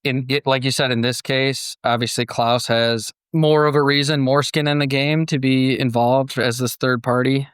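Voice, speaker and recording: Man, podcast host, medium pitch, dry studio-close sound, conversational and storytelling delivery. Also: steady tone, normal speed, hardly any pauses, smooth, no room sound.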